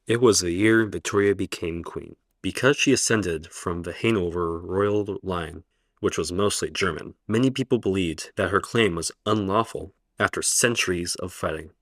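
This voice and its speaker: A kindly elderly professor, voiced by a man, talks in a calm, measured way with a very deep voice. His lines in this radio play sound dry and close-miked. He is wry and reassuring. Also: no room sound. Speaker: professor character.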